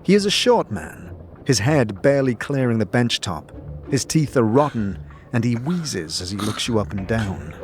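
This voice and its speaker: A man's voice: medium pitch, very animated, normal speed, darkish tone, slight background noise. A man giving dramatic scripted narration for a fantasy audio drama. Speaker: narrator and lead player. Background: music.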